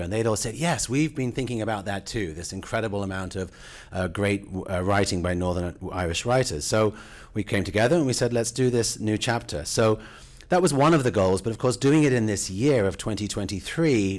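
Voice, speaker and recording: A man introducing a public event in an informal, conversational and enthusiastic way, with halting phrases. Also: lowish voice. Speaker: cultural affairs official introducing event. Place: event room at a university.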